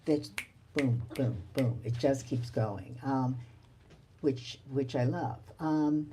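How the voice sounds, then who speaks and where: A woman interviewing at a book event in thoughtful literary conversation, with halting, reflective phrasing. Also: a bass voice, mellow, slight background noise. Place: live bookstore event.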